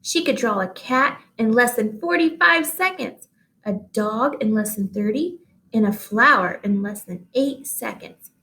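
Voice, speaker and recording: High-voiced woman reading a children's story. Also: expressive, measured pace, bright, forceful tone. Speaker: read-aloud storyteller. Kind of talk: expressive children's storybook narration.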